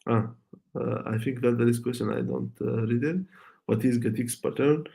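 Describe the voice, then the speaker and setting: A man whose native language is Arabic, presenting an online tech talk and taking questions, speaking haltingly with many fillers and restarts. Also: mid-range voice, fairly even intonation, vocal fry, dark tone. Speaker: conference speaker.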